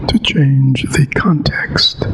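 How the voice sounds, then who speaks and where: A man teaching meditation in a measured, halting way with long pauses, as in a guided practice talk. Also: whispered. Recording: clear background noise, ambient rumble.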